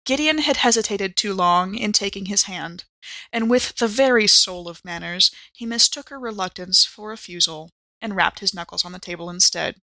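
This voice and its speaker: Bright, dry-recorded woman podcast performer. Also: mid-range voice, normal speed, no room sound. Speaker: podcast cast member. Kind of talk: dramatic audiobook-style reading and casual chat.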